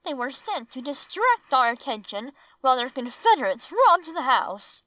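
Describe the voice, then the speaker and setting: A woman voicing a prim, fat older lady from a storybook, frightened and dramatic, with drawn-out, fussy delivery. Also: sits high, very animated, a bit bright, no room sound, pitched above the performer's own voice. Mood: anxious certainty. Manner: slow, drawn-out, fussy exclamations. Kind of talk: storybook character reading.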